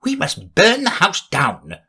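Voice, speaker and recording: Bossy rabbit barking brisk orders, pitch all over the place , done by a man reading the story. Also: wildly swooping pitch, bright, forceful tone, husky, full character voice.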